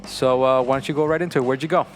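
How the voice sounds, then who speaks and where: A man co-hosting a laid-back podcast, talking in an ordinary mid-range voice and teasing his guest with easy jokes. Music plays clearly underneath him throughout. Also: mid-range voice, fairly even intonation, racing. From New York City. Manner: joking, teasing. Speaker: podcast host, visual artist. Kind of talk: casual podcast chat.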